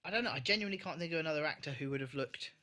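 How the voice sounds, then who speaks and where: A man's voice: fairly high pitch, animated, rapid-fire, pushed and bright. A man co-hosting a casual podcast chat, emotive and emphatic, with a sweary streak. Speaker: podcast co-host.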